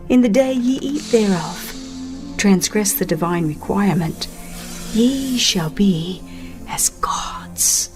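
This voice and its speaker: Slow, solemn woman narrator with music behind. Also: mid-range voice, darkish tone. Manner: solemn, deliberate, dramatic phrasing. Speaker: audiobook narrator. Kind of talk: dramatized scripted religious narration.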